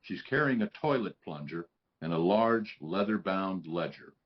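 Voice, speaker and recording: A man's voice: low-pitched, animated, measured pace, highs cut off. A man reading scripted stage directions as narrator at a live table read.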